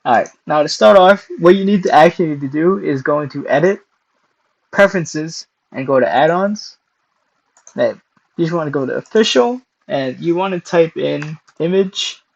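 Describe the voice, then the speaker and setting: A young man hosting a casual screen-recorded software tutorial, hoarse and losing his voice, clearing his throat often between steps. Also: fairly high pitch, expressive, normal speed, highs cut off. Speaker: tutorial host.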